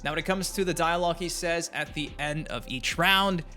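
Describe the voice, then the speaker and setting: A man hosts a gaming news video in a forceful, bright voice that sits a little high. His delivery is informal and chatty, and he leans on words for emphasis. Music plays softly behind him. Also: normal speed. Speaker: YouTube gaming news host. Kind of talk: casual gaming news commentary. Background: background music.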